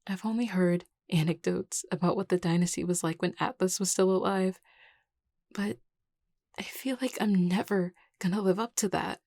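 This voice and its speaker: A woman voicing an anxious, self-doubting young vampire leader in an audio roleplay, stammering hesitantly and often dropping to a breathy murmur. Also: on the low side, moderate pace, a little mellow, dry recording, studio quality. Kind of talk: intimate audio roleplay acting.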